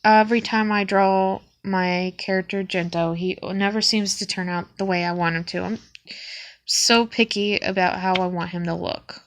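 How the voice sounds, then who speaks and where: A woman's voice: medium pitch, steady tone, moderate pace. A young woman with a sniffly cold, showing her sketchbook in a hesitant, casual way with frequent ums. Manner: hesitant, with many ums and restarts.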